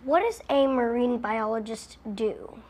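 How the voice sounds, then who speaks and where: A teenage girl as a student reporter, asking brisk, scripted interview questions. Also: fairly high pitch, a little mellow.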